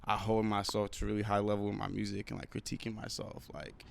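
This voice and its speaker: Gravelly, smooth-voiced young man. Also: on the low side, completely flat delivery, fast. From South Africa, raised in London. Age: 20s. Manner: laid-back, lots of "like" and "you know what I'm saying". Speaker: rapper guest. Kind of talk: casual interview chat.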